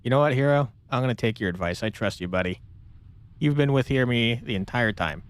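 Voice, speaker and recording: A man's voice: mid-range voice, expressive, fairly quick, clean tone. A man streaming a game with casual commentary, reading choices aloud and chatting chummily back to the characters. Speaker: game streamer, let's player.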